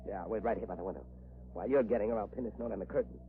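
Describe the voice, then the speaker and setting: An actor playing a ruthless, scheming crime boss in a clipped, tough 1940s radio style, on a tinny old recording. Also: male voice, on the low side, racing, slight background noise, natural voice. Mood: urgent, bossy. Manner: clipped, bossy, tough-talking gangster.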